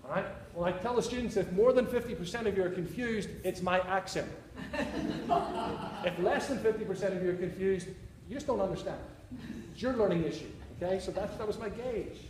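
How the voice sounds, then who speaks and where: A man with a Northern Irish accent gives a conference presentation with a fairly high voice. He jokes and checks in with the audience. The room is echoey, with faint ambient hiss behind him. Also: fairly quick, a little mellow. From Northern Ireland. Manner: joking, checks in with the audience often. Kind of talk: conference talk.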